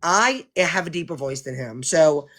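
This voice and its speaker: A woman's voice: low voice, lively intonation, a little slow, crowd noise. A woman chatting on a casual livestream, rambling and restarting her sentences. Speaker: livestream co-host.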